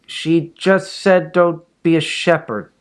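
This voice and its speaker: Man as a whiny, reluctant kid, raised pitch. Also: steady tone, slow, smooth. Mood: plaintive. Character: reluctant, whiny young child dodging a part.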